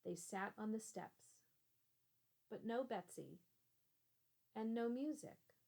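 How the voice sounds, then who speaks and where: A woman teacher reading a children's storybook aloud in a deliberate, expressive way. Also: fairly high pitch, vocal fry.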